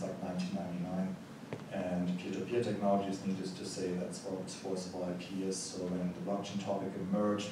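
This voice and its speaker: Deep flat-voiced German man in echoey hall. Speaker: venture fund partner on a panel. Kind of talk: panel self-introduction. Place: conference hall. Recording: echoey hall, distant mic, background noise.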